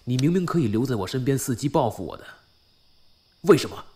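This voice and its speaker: A man's voice: medium pitch, expressive, a little fast, warm tone, a little hiss or hum, lightly acted. A man acting a brooding, guilt-ridden boss in an audio drama, speaking in restrained, terse phrases. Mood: subdued, earnest.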